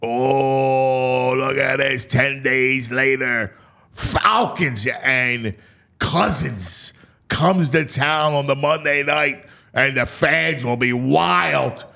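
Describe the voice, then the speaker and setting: A man's voice: mid-range voice, extremely slow, gravelly, sharp tone. An American man hosting a sports talk show, breaking down a schedule with theatrical, announcer-like proclamations and casual asides. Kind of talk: dramatic, animated schedule breakdown.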